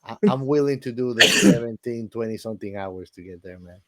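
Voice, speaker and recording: A man's voice: fairly low pitch, a little fast, fairly bright tone. A man chatting casually as a podcast co-host, very informal and often tagging his phrases with "man". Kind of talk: casual film podcast chat.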